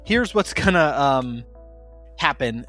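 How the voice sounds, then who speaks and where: A man's voice: mid-range voice, animated, takes it slowly, fairly bright tone, soft background music. A man casually hosting and running an actual-play tabletop game podcast, with a playful, jokey manner. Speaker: podcast host and game master.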